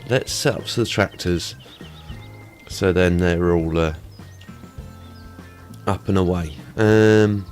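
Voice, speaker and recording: Low-voiced man with a cold. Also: steady tone, slow pace, long pauses, a little mellow, faint music behind. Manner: chatty, rambling, many ums and uhs. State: head cold, sniffly. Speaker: let's play host. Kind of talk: casual gameplay commentary.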